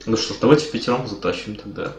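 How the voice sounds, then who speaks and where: Low-voiced young man in an echoey room. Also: quick pace, a loud crowd. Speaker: team player in online shooter match. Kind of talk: casual gaming voice chat.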